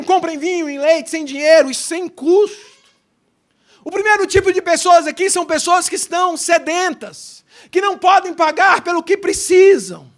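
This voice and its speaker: A Brazilian man, a pastor, preaching a sermon in a church and telling stories, dropping to a hush and then rising loud. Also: very high voice. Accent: Brazilian Portuguese. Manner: storytelling preacher, swings between hushed and loud. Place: church sanctuary.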